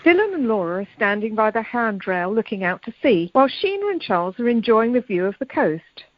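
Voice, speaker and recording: A woman's voice: fairly high pitch, sing-song, fairly quick, no room sound. A woman as the storyteller of an amateur radio play, narrating scene directions plainly from a script. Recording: dull telephone conference line.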